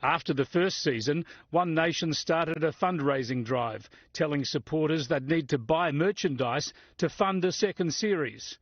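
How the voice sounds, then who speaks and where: A man's voice: a little high, lively intonation, moderate pace, hardly any pauses, dry recording, dull audio. An Australian man narrating a scripted television feature as a reporter.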